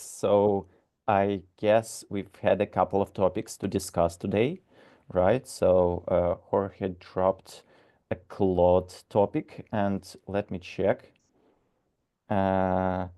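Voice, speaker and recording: Slow, hesitant man hosting a call. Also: fairly low pitch, flat delivery, dark tone, smooth. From Ukraine. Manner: hesitant, many "uh" and restarts. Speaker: call moderator. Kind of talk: casual moderating of a video call.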